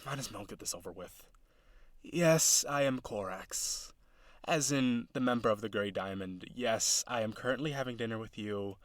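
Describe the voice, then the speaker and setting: A man's voice: fairly low pitch, normal speed, dry recording, close to an everyday voice. A man playing an awkward, businesslike villain on a blind date in an audio roleplay, talking to the listener in a hesitant, dry, earnestly formal way. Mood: awkward, resigned.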